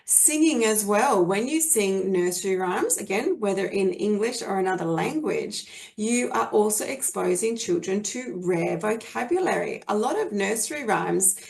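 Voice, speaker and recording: An Australian woman walks parents through early literacy skills in a friendly, explanatory webinar talk. Her voice has a gravelly, creaky edge and sits at a middling pitch. It sounds like it comes through a computer mic on a video call. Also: expressive, normal speed, few pauses, darkish tone. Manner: friendly, explanatory, with frequent fillers and restarts. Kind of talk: webinar presentation.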